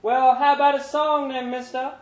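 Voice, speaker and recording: A man's voice: very high voice, little pitch movement, moderate pace, pitched above the performer's own voice. A man voicing a bold, pestering street kid in a live story reading. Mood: cheeky, pestering. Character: cheeky street kid begging for a country song. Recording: echoey pub room, background noise.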